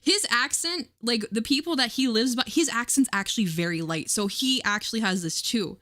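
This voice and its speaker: A woman's voice: a little high, normal speed, a bit bright. A woman streamer chatting casually while reacting to clips, swearing and laughing, with a mixed accent. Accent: mixed online accent. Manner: loose, swearing, laughs often.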